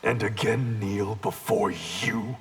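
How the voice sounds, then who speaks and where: An actor playing a proud, bitter ninja clan grandmaster in a video game, with a gravelly voice and a haughty, forceful manner. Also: male voice, on the low side, slow pace, faint music behind. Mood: proud defiance. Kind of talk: video game fight-intro dialogue.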